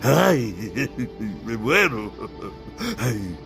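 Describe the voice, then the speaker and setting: A fiery Renaissance master sculptor from an audiobook, acted out by a male narrator. He bellows theatrically in a raised, strained pitch, insulting and overwrought, with music playing clearly behind him. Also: very animated, drawn-out words, exaggerated voice. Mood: agonized, dying. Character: fiery, furious Renaissance master sculptor barking orders at workmen.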